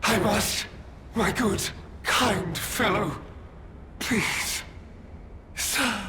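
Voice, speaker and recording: An actor playing a craven, desperate schemer in a video game, pleading and justifying himself in a halting, theatrical way. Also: male voice, extremely high pitch, noisy background, heavily acted. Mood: desperate, unhinged. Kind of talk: dramatic video game cutscene acting.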